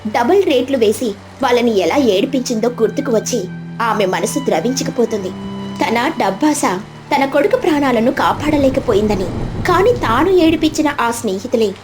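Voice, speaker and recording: A woman reading a scripted children's story as storyteller, with dramatic, expressive delivery. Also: a little high, moderate pace. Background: faint background music.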